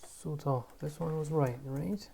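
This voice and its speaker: Mellow-voiced learner drilling pronunciation. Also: mid-range voice, moderate pace, vocal fry, slight background noise. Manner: repeats words over and over, self-correcting; muttering to self, bursts of excitement. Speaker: Korean language learner. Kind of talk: casual pronunciation practice vlog.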